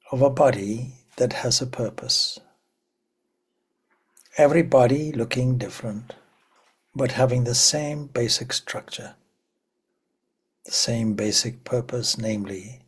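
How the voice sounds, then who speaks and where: A man leading a guided meditation in a slow, prayerful way, letting phrases trail off into soft whispers. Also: mid-range voice, husky. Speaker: meditation teacher.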